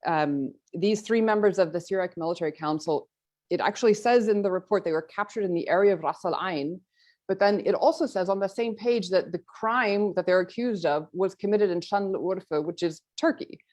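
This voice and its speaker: An earnest woman delivering remarks on her research, at a pitch in the middle for a woman. She talks in a measured, serious way and often repeats a word as she restarts a phrase. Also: a little mellow. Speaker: policy researcher giving remarks. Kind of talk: prepared policy presentation.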